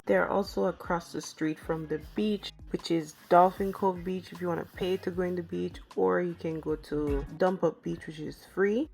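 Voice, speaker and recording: Stuffy-nosed woman vlogging, low voice with some gravel, chatty and self-mocking. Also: steady tone, moderate pace, hardly any pauses, a little mellow, soft background music. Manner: chatty, laughs at herself. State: stuffy from the flu. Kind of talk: casual travel vlog.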